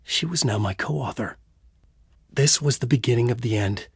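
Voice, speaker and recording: An actor playing a tormented thriller writer trapped in a horror story in a video game, with a grave, introspective, intense delivery and a husky voice. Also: male voice, mid-range voice, moderate pace, no room sound, clean studio sound. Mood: grim, resolute. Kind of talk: brooding dramatic game dialogue and noir monologue.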